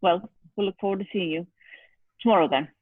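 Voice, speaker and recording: A woman's voice: mid-range voice, a little fast, breathy edge, dry recording, natural voice. Through narrow, dry lo-fi audio comes a stern middle-aged stepfather, played by a woman at a table read. The delivery is formal and clipped, full of stiff reproach. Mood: stern, reproachful. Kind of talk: informal at-home script table read.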